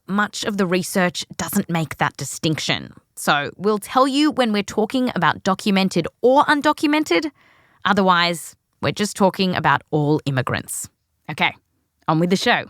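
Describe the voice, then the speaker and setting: An Australian woman hosting a science podcast in a scripted narration with playful, jokey asides. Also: medium pitch. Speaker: podcast host.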